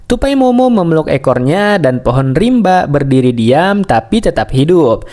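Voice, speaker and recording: A man's voice: fairly high pitch, big pitch swings, moderate pace, a little mellow. A man narrating a scripted bedtime story for children.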